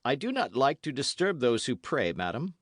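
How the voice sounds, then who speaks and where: A man's voice: medium pitch, very animated, moderate pace, no echo, studio quality, pitched above the performer's own voice. A man voicing a stern, devout young officer who speaks seriously and with measure. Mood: grave.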